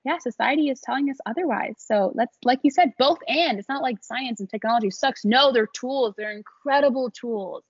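A woman's voice: fairly high pitch, normal speed, hardly any pauses, breathy edge, dry recording, muffled recording. A young adult woman, a small business founder, talking casually as an interview guest, chatty and laughing often with many fillers.

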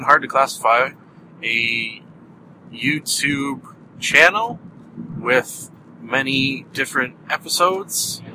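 A man's voice: mid-range voice, sharp tone, a little hiss or hum, poor audio. A man with a Canadian accent hosting a casual solo podcast, rambling with many fillers and small chuckles. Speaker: podcast host.

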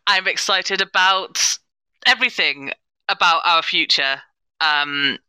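A woman talks casually on a panel with real enthusiasm. Her voice is very bright and forceful, with a smooth, clear tone. The sound is close and dry. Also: on the low side, no room sound, rough recording. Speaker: panellist, audio drama producer and sensitivity consultant.

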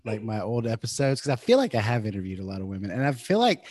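A man with a fairly low voice hosts a podcast in easy, casual conversation. He talks in a halting way, often restarting his sentences, and the recording is close and dry. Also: sing-song, darkish tone, dry recording. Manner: halting, many repeated starts. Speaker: podcast host.

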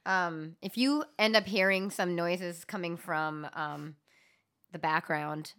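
A woman's voice: fairly low pitch, slow pace, fairly bright tone. A woman co-hosting a podcast in a casual chat, talking loosely with many ums and fillers; her home language is Gujarati. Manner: chatty, lots of ums and filler. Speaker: podcast co-host.

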